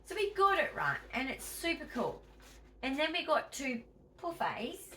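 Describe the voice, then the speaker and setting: Aussie woman vlogging, super lively up and down voice, chatty, bit far off the mic. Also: sits high, unhurried, slight background noise. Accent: Australian. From Australia. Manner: chatty, emphatic, tells viewers what to do. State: wheezy, tight-chested. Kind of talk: casual home vlog chat.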